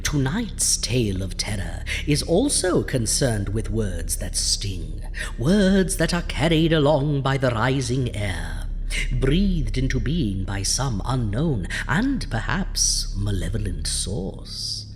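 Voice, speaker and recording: A man playing a sardonic undead crypt-keeper who hosts a horror audio show, with a theatrical, drawn-out delivery. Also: mid-range voice, very animated, a little hiss or hum. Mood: ominous. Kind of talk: theatrical horror host monologue.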